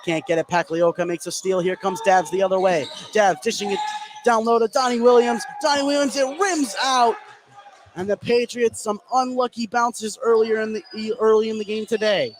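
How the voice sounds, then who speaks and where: High pitched guy doing live hoops play-by-play on radio, gets louder on big plays, noisy gym behind him. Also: fairly even intonation, normal speed. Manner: present-tense running call, voice rising on big plays. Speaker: play-by-play basketball commentator. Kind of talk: live radio sports commentary. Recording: clear background noise, live gym ambience. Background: ambient noise of a basketball game.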